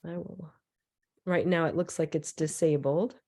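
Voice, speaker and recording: Raspy woman, hoarse from a cold, lowish voice, rambly talk full of ums, video call mic. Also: steady tone, unhurried, dark tone. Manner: loose and rambling, with many fillers and restarts. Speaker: transit equity advocate presenter. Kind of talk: informal presentation on a video call. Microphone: computer mic on a video call.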